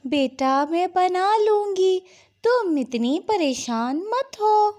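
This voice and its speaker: A woman's voice: very high-pitched, lively intonation, slow pace, a little mellow, heavily acted. A woman voicing an affectionate, protective mother bird in a Hindi cartoon story, with a fussing, motherly manner. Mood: gentle concern.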